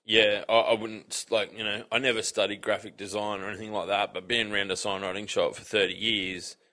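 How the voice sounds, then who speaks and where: An Australian man as a podcast guest, talking in a relaxed, rambling way full of fillers. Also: lowish voice, fairly quick. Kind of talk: casual chat.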